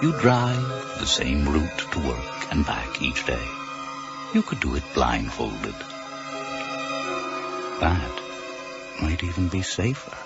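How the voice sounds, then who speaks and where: Ominous mystery host, extremely low and unhurried, deliberate delivery, dull eighties radio sound with music underneath. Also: male voice, very animated, exaggerated voice. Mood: ominous, measured. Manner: slow, deliberate, portentous. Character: ominous male narrator of an eerie mystery series. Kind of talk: eerie scripted radio drama narration. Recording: muffled 1980s radio broadcast.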